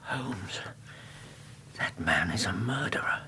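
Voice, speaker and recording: An actor in a radio drama playing an upright gentleman doctor, earnest and reproachful. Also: low voice, animated, slow, a little hiss or hum, heavily acted. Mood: disapproving, uneasy. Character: disapproving, upright gentleman doctor, the detective's concerned companion.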